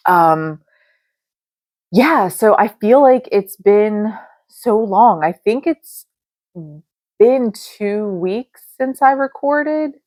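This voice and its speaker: A woman's voice: mid-range voice, lively intonation, extremely slow, darkish tone, no room sound. A middle-aged woman hosting her own podcast from her dining room, chatting in a rambling way with lots of fillers, a little nasal and congested. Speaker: podcast host. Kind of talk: casual solo podcast chat.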